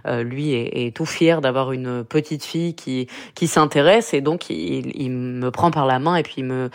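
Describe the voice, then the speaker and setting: A woman's voice: rumbling low, moderate pace. A young woman from Limousin with a Limousin accent, speaking as a radio interview guest, hesitant and full of fillers and restarts. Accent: Limousin French. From Limousin, France. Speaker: guest, granddaughter of a massacre survivor.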